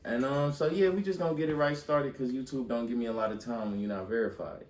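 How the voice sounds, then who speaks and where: A man's voice: medium pitch, fast, reverberant, recorded from a distance, noisy background. A young man hosting a podcast interview, talking loosely with casual slang and repeated phrases. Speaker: podcast host. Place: podcast studio.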